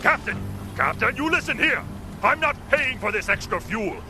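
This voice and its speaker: Pompous radio villain, wildly swooping voice. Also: male voice, extremely high pitch, very animated, normal speed. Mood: indignant alarm. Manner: pompous, taunting, theatrical. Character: smug gloating male villain posing as legitimate businessman. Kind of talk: radio drama acting. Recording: music under the voice.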